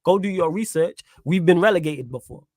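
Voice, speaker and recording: A man's voice: high-pitched, a little fast, dry recording, clean studio sound. A man, hosting a football call-in show and pressing a caller hard, cutting in and keeping count of points. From Manchester, England. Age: 30s. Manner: pushy and interrupting, keeps score of the caller's points. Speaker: host.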